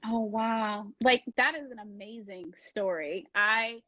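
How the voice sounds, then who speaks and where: A woman's voice: mid-range voice, takes it slowly, dry recording. A woman who is a medical student hosts an interview in a bubbly, enthusiastic way. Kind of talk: casual conversational interview. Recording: dull, dry lo-fi audio.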